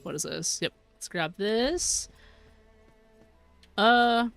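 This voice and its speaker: A woman's voice: medium pitch, lively intonation, very slow, gravelly, a bit bright, no room sound, faint music behind. A young American woman chatting casually while streaming a game, reading chat aloud, giggling often and rambling through her sentences. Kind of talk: casual gameplay livestream chat.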